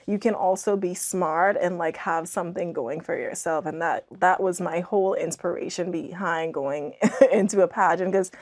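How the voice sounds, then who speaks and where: A woman's voice: lowish voice, moderate pace. A young woman from the Bahamas, talking casually as an interview guest, often dropping in "like" and "you know". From Andros, Bahamas. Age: young adult. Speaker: podcast guest, scientist and author. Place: small indoor podcast studio.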